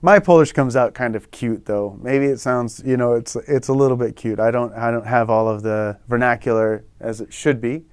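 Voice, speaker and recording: A middle-aged man talking in a relaxed, rambling way, often restarting his sentences, as a guest in an interview-style lesson. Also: mid-range voice, moderate pace, few pauses, clean tone, dry recording. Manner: relaxed, rambling, self-correcting. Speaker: guest in a language lesson show. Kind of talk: casual interview chat.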